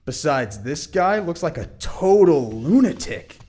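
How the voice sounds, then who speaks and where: A man playing a sardonic teenage high-school boy in an audio drama, sarcastic, slangy and foul-mouthed. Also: sing-song, measured pace, darkish tone, faint music behind. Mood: irritated.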